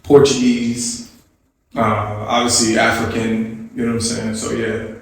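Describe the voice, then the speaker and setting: A man's voice: mid-range voice, completely flat delivery, a little slow, gravelly, a little hiss or hum. A man hosting an interview podcast, talking in a loose conversational way, often restarting and asking "you know what I'm saying?". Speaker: podcast host. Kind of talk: casual interview chat. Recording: echoey room, mic at a distance.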